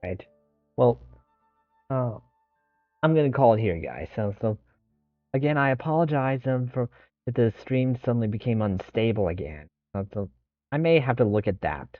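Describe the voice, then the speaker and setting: A man hosting a livestream, talking casually in a halting, hesitant way. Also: medium pitch, expressive, no echo. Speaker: livestream host. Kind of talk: casual livestream sign-off talk. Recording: thin phone-like sound, faint music.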